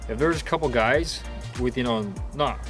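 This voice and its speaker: A man's voice: medium pitch, moderate pace, fairly bright tone, soft background music. Easygoing young man chatting outdoors. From Ukraine. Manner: laid-back, chatty, loose phrasing with "dude" and "like". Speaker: RC car channel host. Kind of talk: casual vlog chat. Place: outdoors, windy day.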